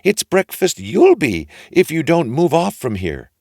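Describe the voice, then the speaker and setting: A great big tall storybook wife, put on by a man, brusque but motherly. The voice is pushed high and loud, swooping as she scolds and fusses, with a dry close sound. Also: huge pitch swings, a little slow, no room sound, full character voice. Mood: brusque, warning. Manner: high-pitched, exclaiming, scolding and fussing.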